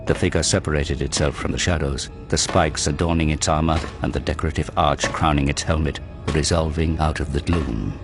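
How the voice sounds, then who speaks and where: Deep-voiced man narrating over dramatic music. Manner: grave, measured storytelling. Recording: loud cinematic music behind the voice.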